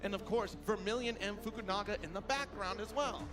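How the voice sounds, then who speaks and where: A man giving animated, conversational live commentary on a fighting-game tournament. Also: high-pitched, a little fast. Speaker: esports commentator. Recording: loud music under broadcast commentary. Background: loud music.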